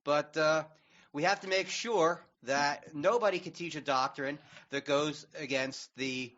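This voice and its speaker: This is a man with a somewhat high voice on a dull-sounding radio stream. He talks politics as a panelist, argumentative and sarcastic, pressing his opinions in a conversational way. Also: a little slow, a bit bright. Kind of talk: opinionated talk radio discussion.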